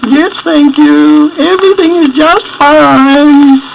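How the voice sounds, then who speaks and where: A man's voice: very high-pitched, steady tone, slow, creaky, pitched above the performer's own voice, heavily acted. A man voicing a fussy, motherly pet hen for a children's storybook record, clucking in a sing-song way. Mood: reassuring. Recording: noisy old vinyl record playback.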